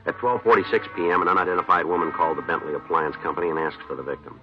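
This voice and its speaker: An actor playing a terse, businesslike police detective in a 1950s radio drama, clipped and deadpan as he questions and narrates. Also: low-pitched, very fast, over music, natural voice. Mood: matter-of-fact. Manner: clipped, deadpan, matter-of-fact. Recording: dull old-time radio recording.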